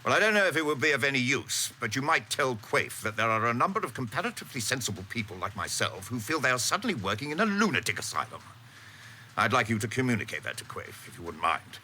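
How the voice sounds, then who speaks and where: Sharp-toned, disdainful senior mandarin. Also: male voice, medium pitch, very animated, slight background noise. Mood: dry disdain. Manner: formal, measured, pompous irony. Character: dry, disdainful, senior male civil service mandarin. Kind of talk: radio drama acting.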